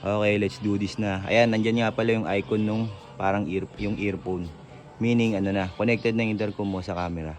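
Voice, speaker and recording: A man's voice: fairly low pitch, fairly even intonation, a little fast. A man vlogging casually as he rides a motorcycle, talking loosely through a helmet intercom headset. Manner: rambling, loose and chatty with fillers. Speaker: motovlogger. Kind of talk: casual how-to vlog, chatting while riding. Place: outdoors on a motorcycle. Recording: dull lo-fi intercom audio.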